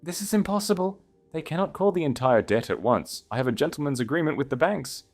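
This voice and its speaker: A man's voice: on the high side, expressive, fairly quick, darkish tone, faint music behind, voice pushed up. A man voicing an arrogant, imperious earl in a dramatic period audiobook. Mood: shocked, panicked. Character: arrogant young English earl, haughty, thrown into panic. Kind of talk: audiobook character dialogue.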